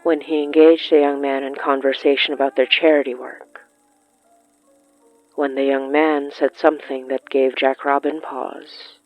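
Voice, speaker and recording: A narrator telling a scripted literary horror story in a measured way, with dramatic pauses between short phrases. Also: medium pitch, flat delivery, no echo, muffled recording, soft background music. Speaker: narrator of a horror fiction podcast.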